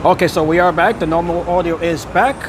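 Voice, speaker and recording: A man's voice: a little high, fairly even intonation, a little fast. A man hosting a casual walking livestream outdoors on city streets at night, easygoing and chatty. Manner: easygoing, chatty, repeats phrases. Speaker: livestreaming vlogger host. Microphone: handheld phone. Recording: phone mic with loud street noise. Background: street and traffic noise.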